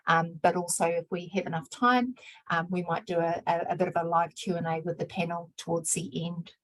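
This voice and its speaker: A woman opening and hosting a research webinar, measured, mixing in Māori greetings. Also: on the low side, steady tone, dry recording, low-quality recording. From New Zealand. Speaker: webinar host and research director. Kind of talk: scripted webinar introduction.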